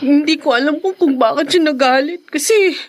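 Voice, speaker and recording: A wounded young Filipino housemaid in a radio drama, acted by a woman. Her voice is high and full of feeling, that of someone who has endured a lot. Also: normal speed, natural voice. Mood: sad, hesitant. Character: long-suffering young housemaid, wounded and emotional.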